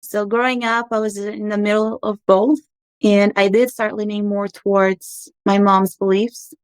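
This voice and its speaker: A woman in her thirties, from Portugal and raised abroad, earnestly recounting her life story as a podcast guest with frequent pauses. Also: mid-range voice, flat delivery, smooth, dry recording. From Portugal, raised in Angola and Macau. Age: 30s. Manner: reflective, earnest, with frequent ums. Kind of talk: personal storytelling interview.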